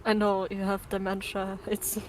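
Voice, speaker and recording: A woman's voice: mid-range voice, monotone, moderate pace, darkish tone. A woman chatting casually on an online voice call during a game stream. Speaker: co-streamer in voice chat. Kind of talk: casual chat. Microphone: Discord voice call with noise cancellation. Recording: noisy online voice chat.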